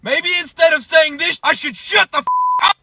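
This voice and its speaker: Very high-pitched man in a noisy clip. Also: moderate pace. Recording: noisy clip with censor beeps.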